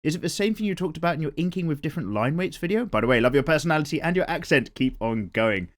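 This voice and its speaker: A man's voice: on the high side, expressive, quick pace. A man with an English accent and a nasal voice, casually rambling and reading viewer comments aloud while he sketches. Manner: chatty and rambling, swears casually. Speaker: art channel host.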